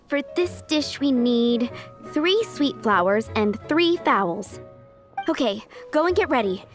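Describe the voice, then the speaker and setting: Harried diner manager, brisk, fairly high voice, game music clearly behind. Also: female voice, animated. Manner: frazzled but brisk. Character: flustered female restaurant manager, busy and practical. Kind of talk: video game voice acting.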